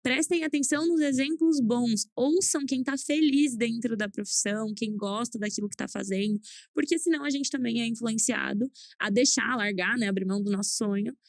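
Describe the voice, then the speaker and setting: A woman's voice: fairly high pitch, brisk, vocal fry, no room sound, clean studio sound. A woman co-hosting a casual podcast, talking earnestly and giving advice the way she does to her students. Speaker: podcast co-host, teaches students.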